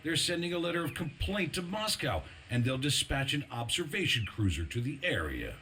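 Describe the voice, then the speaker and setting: Male game soldier: urgent, earnest fighter, sharp forceful tone, very lively pitch, faint noise behind. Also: moderate pace. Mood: grim. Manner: tense, forceful, emphatic. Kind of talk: video game cutscene acting.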